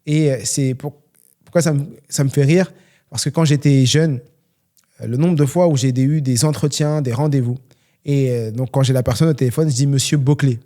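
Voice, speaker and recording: A man's voice: medium pitch, steady tone, moderate pace, mellow. A young man from France, talking earnestly and motivationally on a solo question-and-answer podcast, with many fillers. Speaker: podcast host and trainer.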